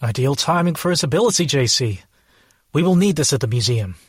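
An actor playing a purposeful alien inventor who explains things formally, in an audio drama. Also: male voice, a little high, lively intonation, fairly quick, breathy edge, no room sound, studio quality. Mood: businesslike.

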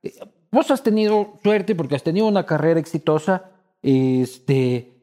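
High-pitched male host, teasing and chatty, casual interview questions. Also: moderate pace, warm tone.

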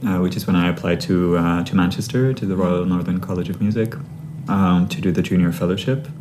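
A man from Sri Lanka in his thirties, a conductor talking through his path in a relaxed interview, thinking aloud with many fillers and restarts. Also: deep voice, a little fast, noisy background. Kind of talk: conversational interview answers.

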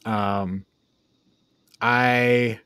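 A man's voice: medium pitch, fairly even intonation, drawn-out words, fairly bright tone, smooth, no room sound. Man hosting a podcast, close dry sound. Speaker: podcast host. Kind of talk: casual film review chat.

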